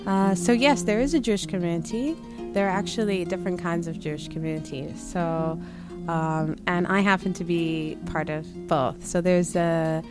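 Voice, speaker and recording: Radio guest: young woman from Zimbabwe, lower voice, halting with ums and restarts, soft guitar behind. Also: clean tone. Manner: hesitant, many fillers and restarts. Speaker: guest, camp staff. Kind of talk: radio interview. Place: summer camp radio station.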